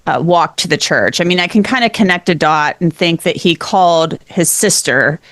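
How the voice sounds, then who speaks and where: Low-voiced woman interviewer, thinking aloud. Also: normal speed, a bit bright, dry recording, studio quality. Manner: thinks aloud, rephrases questions. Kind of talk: conversational interview.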